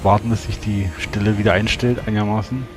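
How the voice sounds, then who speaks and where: A man's voice: on the low side, little pitch movement, fast. A man playing a tabletop game, describing his character's actions. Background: music.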